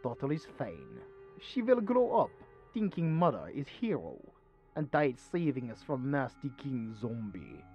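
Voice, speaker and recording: A man's voice: mid-range voice, huge pitch swings, measured pace, dull audio, faint music behind. A man playing a mocking, impatient villain in a horror audio roleplay, sneering in clipped, broken sentences. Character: mocking, impatient villain captor, crude and cruel.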